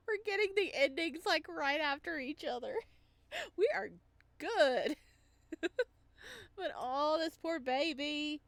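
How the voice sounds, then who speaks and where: A woman's voice: sits high, animated, slow pace, pauses often, a bit bright, no room sound. A woman doing casual let's play commentary on a visual novel, giggly and sympathetic as she reacts to the story. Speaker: game streamer reading a visual novel aloud.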